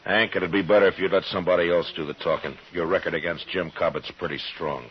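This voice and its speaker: An actor playing a stern, weary, plain-spoken American frontier marshal in a 1950s radio western. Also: male voice, low voice, fairly even intonation, fast talker, a little hiss or hum, lightly acted. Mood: firm. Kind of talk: 1950s radio drama acting and narration. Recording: muffled 1950s radio recording, music behind.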